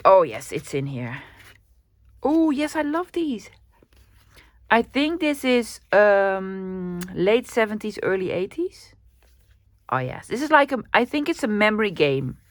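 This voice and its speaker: Dutch-accented woman, casual upbeat vlog chat, mid-pitched voice, lots of ums. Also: big pitch swings, a little slow, no room sound. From Netherlands. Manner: chatty and upbeat, frequent ums and small laughs. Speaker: crafting vlogger. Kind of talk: casual haul video chat. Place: home room at the end of the day.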